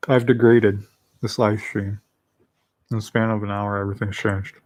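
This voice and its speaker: A man's voice: fairly low pitch, flat delivery, moderate pace, darkish tone, no room sound. A man in his late twenties with a slight lisp, chatting casually and rambling with self-deprecating jokes on his own livestream. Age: late 20s. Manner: eating while talking. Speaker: livestream host. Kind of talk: casual solo livestream chat.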